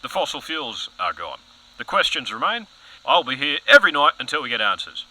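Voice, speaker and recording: A man's voice: medium pitch, sing-song, a little fast, sharp tone, exaggerated voice, low-quality recording. A man playing a pompous, overzealous TV news anchor in an audio comedy, earnest and dramatic. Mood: dramatic, grave.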